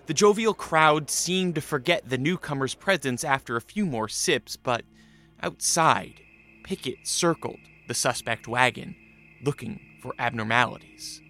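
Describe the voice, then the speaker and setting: A man with a gravelly voice reading scripted narration for a radio drama. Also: medium pitch, sharp tone.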